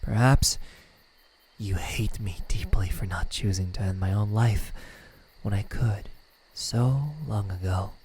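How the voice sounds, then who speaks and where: A man voicing a smug, menacing yet melancholic demon in an ASMR roleplay, speaking in a hushed, taunting way. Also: lowish voice, a little hiss or hum. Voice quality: breathy, slipping into whispers. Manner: slow, hushed, taunting delivery with pauses.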